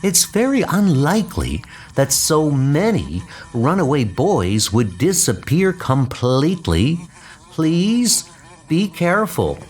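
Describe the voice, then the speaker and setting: A man voicing a worried, gentle mother in a comic book dub, speaking with care. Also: a little high, huge pitch swings, slow, faint music behind, exaggerated voice.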